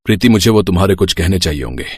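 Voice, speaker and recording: A man voicing a scheming gambler husband in a story, persuasive and hurried. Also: sits low, lively intonation, over loud music. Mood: coaxing.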